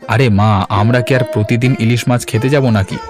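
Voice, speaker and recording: Fast-talking hearty young village man played by an actor. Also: mid-range voice, steady tone, darkish tone, faint music behind, natural voice. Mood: coaxing. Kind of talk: Bengali cartoon voice acting.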